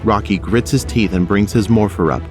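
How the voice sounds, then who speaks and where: A man's voice: fairly low pitch, moderate pace, a little mellow, faint music behind. A man narrating an action audio drama from a script.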